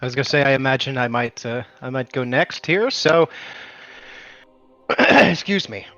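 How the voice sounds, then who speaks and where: A man's voice: medium pitch, lively intonation, normal speed, pushed and bright, no room sound. Male tabletop player, dry muffled recording, presenting a role, faint music. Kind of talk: character introduction in an online tabletop game.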